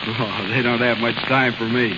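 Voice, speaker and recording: Actor as bashful young carnival lad, muffled radio. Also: male voice, medium pitch, steady tone, moderate pace, natural voice. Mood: friendly, shy. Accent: American. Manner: bashful, folksy. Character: green, shy, naive young carnival lad. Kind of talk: 1930s American radio acting. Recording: muffled, hissy, crackling 1930s radio recording.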